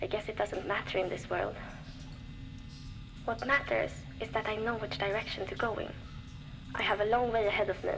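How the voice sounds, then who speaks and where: An actress playing a young woman survivor, reflective and determined, recording a measured audio diary for a video game. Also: medium pitch, fairly even intonation, creaky, music in the background, lightly acted, rough recording. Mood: calm, hopeful. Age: young adult.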